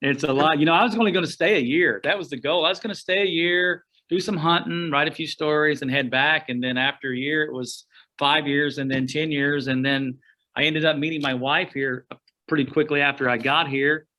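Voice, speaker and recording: Bright-voiced folksy man from Oklahoma. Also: a little high, steady tone, a little fast, low-quality recording. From Oklahoma, USA. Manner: rambling and folksy, frequent "uh" and restarts. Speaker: guest, outdoor writer. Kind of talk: casual storytelling interview.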